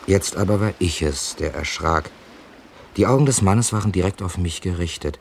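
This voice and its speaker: An earnest witness who narrates a radio crime story. The voice is low, the phrasing deliberate and reflective, almost literary. A slight hiss of an old recording sits underneath. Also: male voice, vocal fry. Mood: reflective, uneasy. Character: reflective male hotel guest, narrator and witness. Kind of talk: German radio crime play narration and dialogue.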